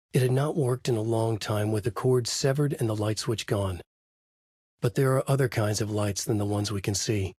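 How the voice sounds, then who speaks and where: A man playing a brooding, haunted writer in a video game, narrating a dark noir thriller in grave, clipped, dramatic phrases with a husky voice. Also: lowish voice, dry recording, clean studio sound. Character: brooding, haunted male writer trapped in a nightmare.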